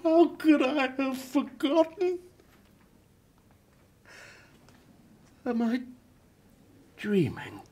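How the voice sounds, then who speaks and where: A man acting a dying playwright in a radio play, grieving and wry, speaking haltingly with breathy murmurs. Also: extremely high pitch, huge pitch swings, warm tone, exaggerated voice. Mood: grieving, confused.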